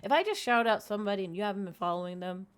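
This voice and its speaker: A woman's voice: medium pitch, lively intonation, racing, smooth. A woman live streamer chatting casually and informally to her viewers in a friendly way. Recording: music playing behind the voice. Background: background music.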